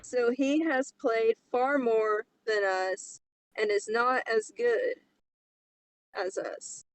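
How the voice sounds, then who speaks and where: A woman's voice: a little high, fairly even intonation, takes it slowly, slight background noise, poor audio. A woman co-hosting a casual group session of chat and reading stories aloud. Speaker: co-host.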